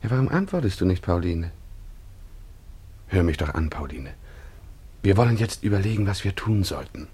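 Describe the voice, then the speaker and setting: Very husky, impulsive young man. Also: deep voice, very animated, normal speed, pauses often, dark tone, heavily acted. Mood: drowsy, puzzled. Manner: urgent, pleading, emotionally exposed. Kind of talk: German radio drama acting.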